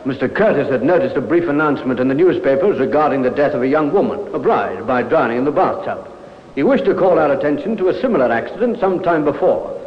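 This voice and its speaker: Police sergeant, male actor: fairly high voice, clipped official delivery, respectful, ringing muffled radio sound. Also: animated, fast, natural voice. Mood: dutiful, matter-of-fact. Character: dutiful, matter-of-fact male Scotland Yard police sergeant. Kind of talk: 1950s radio drama acting. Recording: echoey, muffled old radio recording.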